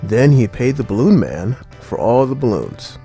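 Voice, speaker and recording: A man reading a children's storybook aloud in an expressive, dramatized narrating style. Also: medium pitch, moderate pace, faint music behind. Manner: expressive, dramatizing key words. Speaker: audiobook narrator.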